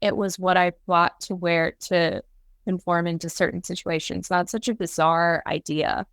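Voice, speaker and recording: Chatty podcast host woman, lowish voice. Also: little pitch movement, moderate pace, vocal fry, a bit bright, clean tone, no room sound, studio quality. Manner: chatty, peppered with "like", swears casually. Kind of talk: casual podcast chat.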